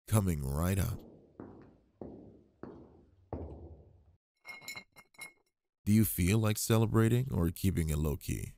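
A man playing an affectionate, protective, gently teasing boyfriend in an intimate roleplay ASMR audio. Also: deep voice, gravelly, no echo, studio quality, full character voice. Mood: tender.

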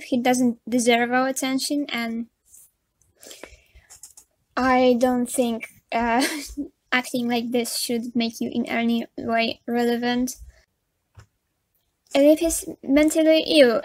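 A woman's voice: high-pitched, a little mellow, no echo. A young woman with a Polish accent giving frank, chatty commentary over a drawing video, with nervous laughs and many fillers. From Poland. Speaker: commentary YouTuber.

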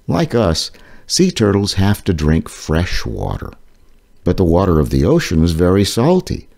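A man reading an educational children's book aloud as its author, phrasing things deliberately and explaining them for children. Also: low-pitched, big pitch swings, darkish tone.

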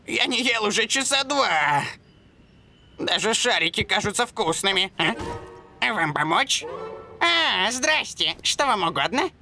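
A man's voice: sits high, sing-song, takes it slowly, creaky, bright, forceful tone, soft background music, heavily acted. A man voicing a whining, gluttonous, comic fat balloon seller in a cartoon dub. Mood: bored, whiny. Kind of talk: animated series dub.

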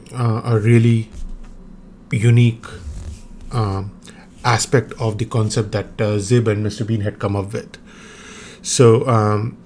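Slow, hesitant low-voiced man, Hindi native. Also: darkish tone, slight background noise. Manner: hesitant, many ums and restarts. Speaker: maker explaining his own 3D printer design. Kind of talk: screen-recorded technical walkthrough.

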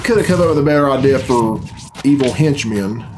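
Blunt man reacting over loud music. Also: mid-range voice, lively intonation, brisk. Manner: blunt, grumbling, swears freely. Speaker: reaction video host. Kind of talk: casual reaction commentary. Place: small furnished room at home, indoors. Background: loud game cutscene music.

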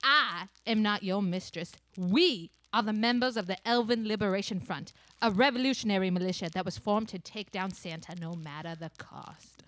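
A woman's voice: mid-range voice, moderate pace, a bit bright, lightly acted, rough recording. A young woman playing a defiant, commanding elf revolutionary in comic radio theater, with theatrical delivery. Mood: menacing. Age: young adult. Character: defiant elf revolutionary posing as Santa's mistress. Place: small studio stage, indoors. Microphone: close boom mic.

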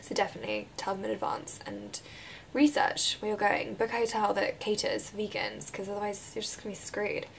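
Croaky young woman chatting in a bedroom. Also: medium pitch, fairly quick, slight background noise, rough recording. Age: 20s. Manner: chatty, relaxed, drifts into breathy whispers. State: croaky voice from recent illness. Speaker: vlogger answering viewer questions. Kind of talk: casual Q&A vlog. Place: bedroom, indoors. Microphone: camera mic at close range.

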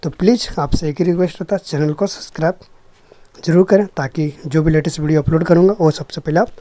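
A man's voice: a little high, fairly even intonation, quick pace, warm tone, no echo. Man, young adult, repair vlogger, explanatory and repetitive, dry recording. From Jharkhand, India. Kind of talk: casual hands-on demonstration narration.

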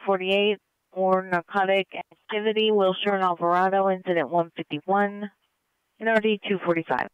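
Guy dispatching on police radio, high smooth voice, short procedural bursts. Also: steady tone, normal speed, no room sound. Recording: muffled police scanner radio.

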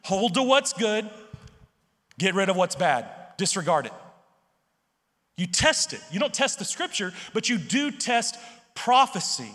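A man's voice: high voice, very animated, measured pace, pauses often, bright, forceful tone. A man in his thirties preaching a sermon on a church stage into a handheld mic, emphatic and conversational, often checking in with "okay?" and "right?". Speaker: pastor. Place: large church stage with purple lights. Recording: long hall reverb.

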